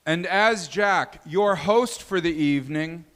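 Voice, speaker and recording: A man's voice: fairly high pitch, slow pace, a bit bright. A man hosting and introducing performers at a live stage show. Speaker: host and performer introducing the cast. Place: live venue stage with audience.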